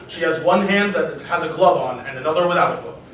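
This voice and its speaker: A man's voice: on the high side, fast, fairly bright tone. A man narrating a scripted comedic story, reading it live. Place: panel room at an anime convention. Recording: very echoey room, distant mic, faint noise.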